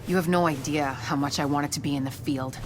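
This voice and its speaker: A low-voiced, tough female agent played by an actress speaks frankly and with grit, as a wounded fighter devoted to her city. It is game cutscene dialogue with clear ambient noise behind it. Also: fairly even intonation, a little fast, lightly acted. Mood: frustrated, regretful. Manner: frank, determined, profane. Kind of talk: video game cutscene voice acting.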